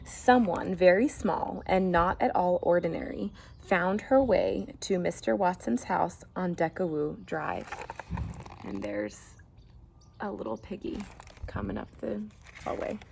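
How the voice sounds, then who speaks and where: A woman's voice: fairly low pitch, measured pace, gravelly, a little mellow, a little hiss or hum. A woman reading a picture book aloud as a teacher would, expressively, with casual asides to the listeners.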